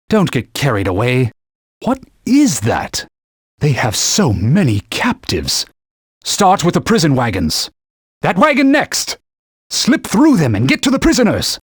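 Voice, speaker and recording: A man voicing a haughty, pompous talking sword that guides and scolds its wielder in a formal, stern manner, with a slightly husky voice. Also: dry recording, studio quality, exaggerated voice. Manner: pompous, formal and scolding, turns shrill when alarmed. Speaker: sidekick guide. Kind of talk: video game voice clips. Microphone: dry studio mic.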